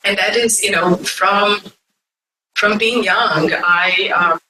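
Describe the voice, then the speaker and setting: A woman with a Brazilian accent giving an informal, unscripted talk to an audience in a halting, digressive way. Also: on the low side, sharp tone. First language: Portuguese. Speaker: guest speaker. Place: echoey indoor conference room. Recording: echoey distant room with faint noise.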